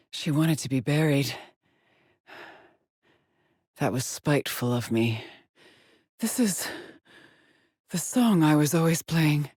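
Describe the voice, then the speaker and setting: Slow-spoken haunted woman astronaut. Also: low voice, darkish tone, husky, no echo, clean studio sound, full character voice. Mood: brooding, haunted. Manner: slow, measured, brooding delivery with pauses. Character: haunted, driven female astronaut explorer, weary and introspective. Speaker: video game voice actress. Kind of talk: dramatic game monologue, solitary log recordings.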